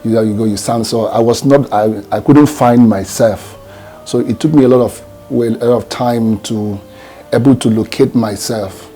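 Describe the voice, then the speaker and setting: A man's voice: medium pitch, fairly even intonation, moderate pace, mellow, faint music behind. A Nigerian man, a gospel singer, answering interview questions in a reflective, hesitant way with many fillers and restarts.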